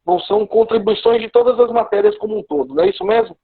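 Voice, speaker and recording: A man hosting a live online interview, asking questions in a relaxed, conversational way. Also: very high voice, rapid-fire, gravelly, husky. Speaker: host. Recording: phone-like lo-fi call audio.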